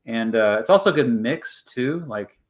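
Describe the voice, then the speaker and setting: A man with an American accent hosting a casual, unscripted interview, rephrasing his thoughts mid-sentence in a halting way. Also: medium pitch, lively intonation. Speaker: YouTube host in a two-way interview.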